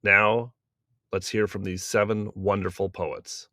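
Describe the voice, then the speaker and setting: A man's voice: on the low side, little pitch movement, a little slow, sharp tone, no room sound, studio quality. A man hosting a podcast, delivering a scripted introduction. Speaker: podcast host.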